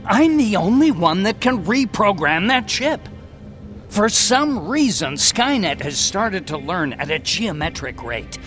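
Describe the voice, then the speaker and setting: Excitable brainy male scientist, higher-pitched. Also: a little slow, faint music behind, full character voice. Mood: urgent, conspiratorial. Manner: talkative, explanatory, excitable. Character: eccentric brainy male scientist and hacker, eager and blunt. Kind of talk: video game cutscene acting.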